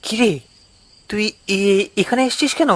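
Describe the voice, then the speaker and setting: A man's voice: sits high, measured pace, a little hiss or hum. A man playing an anxious, protective young villager who warns his friend urgently and insistently in a cartoon ghost story. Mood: alarmed.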